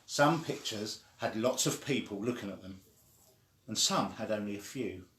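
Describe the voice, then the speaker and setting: A man reading a children's story aloud in a deliberate, simply phrased way. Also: lowish voice, a bit bright. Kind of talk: slow read-aloud storytelling. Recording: echoey room.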